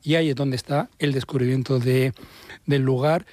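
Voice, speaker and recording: A man's voice: mid-range voice, steady tone, normal speed, a little mellow, no room sound. A man, a seasoned traveler on a radio show, speaking reflectively and with enthusiasm. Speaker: travel journalist, show regular. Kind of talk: casual radio chat.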